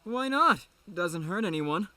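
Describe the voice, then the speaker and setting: A man's voice: sits high, lively intonation, slow, bright, forceful tone, no echo, exaggerated voice. An actor playing a carefree, flippant young male student who teases his friends in an audio drama. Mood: teasing. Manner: teasing, flippant. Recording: music under the voice.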